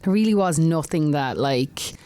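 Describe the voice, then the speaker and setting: A woman's voice: low-pitched, animated, moderate pace, mellow, no echo. An Irish woman, a singer-songwriter, talking casually as an interview guest, chatty with frequent laughs and restarts. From Ireland.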